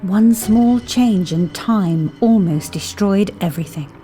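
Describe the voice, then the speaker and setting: A woman playing a calm, intuitive time agent in an audio drama, speaking with composure. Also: medium pitch, takes it slowly, faint music behind, natural voice. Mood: grave.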